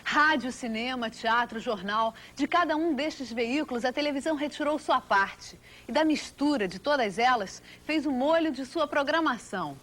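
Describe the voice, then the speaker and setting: A woman's voice: high voice, animated, moderate pace, few pauses, a bit bright. A Brazilian woman reading scripted documentary narration.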